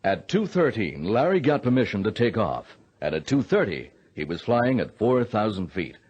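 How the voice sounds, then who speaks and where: An actor playing a steady, grave air traffic controller who narrates a mystery in a radio drama. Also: male voice, fairly low pitch, moderate pace, husky, dull audio. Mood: grave narration. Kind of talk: dramatic first-person radio narration and dialogue.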